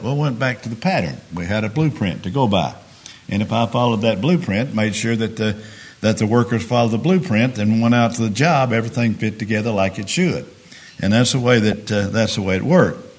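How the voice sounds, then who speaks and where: A man preaches in a hoarse, raspy voice. He talks plainly, middle-aged, leaning on everyday examples and often restarting his sentences. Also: mid-range voice. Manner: plain-spoken, homespun examples, frequent restarts. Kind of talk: sermon.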